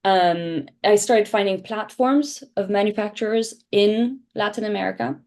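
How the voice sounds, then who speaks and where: A woman's voice: medium pitch, a little slow. A woman whose first language is French, talking casually and animatedly as a podcast guest about founding her shoe brand. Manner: animated, chatty, laughs often. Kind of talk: casual interview chat.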